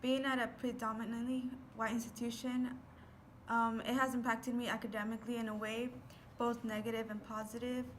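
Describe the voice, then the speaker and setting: A woman's voice: a little high, flat delivery, a little fast, a little hiss or hum. A young woman, a first-year college student, answering interview questions earnestly and saying like often. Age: young adult.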